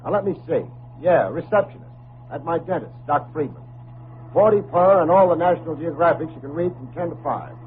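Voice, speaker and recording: An actor playing a wry, hard-boiled private detective in an old-time radio drama, speaking in a clipped, sardonic way. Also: male voice, on the high side, a little fast, slight background noise, lightly acted. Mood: breezy persuading. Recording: muffled, phone-like old radio recording with faint music.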